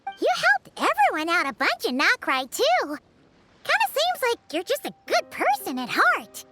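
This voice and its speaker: A woman voicing a tiny, chirpy female floating companion in a video game, bubbly, excitable and childlike. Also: very high voice, unhurried, soft background music, exaggerated voice. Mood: cheerful.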